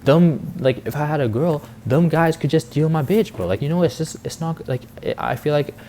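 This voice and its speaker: A man's voice: a little high, moderate pace, mellow, a little hiss or hum. An eighteen-year-old man rambling in a casual, slangy, confessional vlog monologue, full of filler words. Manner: rambling, heavy youth slang with frequent "like" and "bro". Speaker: vlogger and content creator.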